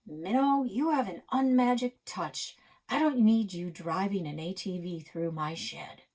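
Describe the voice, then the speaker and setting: A woman voicing an old grandfather in a storybook, gruff, teasing and exasperated in a folksy, blunt way. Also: medium pitch, measured pace, darkish tone.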